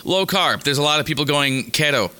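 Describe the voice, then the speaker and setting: A hoarse man with a raspy voice, chatting casually as a podcast co-host, rambling and joking with many restarts. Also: on the high side, expressive, a little fast, bright, forceful tone. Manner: rambling, jokey, restarts a lot. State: hoarse from singing. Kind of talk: casual chat.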